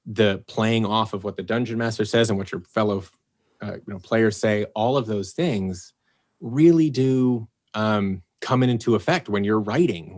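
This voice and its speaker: A man talking casually in a podcast interview about writing an audio drama, rambling a little and acting out what he and his team said. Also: lowish voice. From Los Angeles area, United States. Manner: rambling, restarts and fillers, animated when quoting himself. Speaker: producer and writer of an audio drama.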